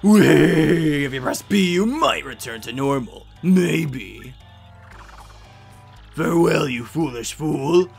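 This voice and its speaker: Man as mocking demon villain, theatrical, gleeful, animated pitch, game dub. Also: slow, vocal fry, faint music behind, full character voice. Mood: gleeful taunting.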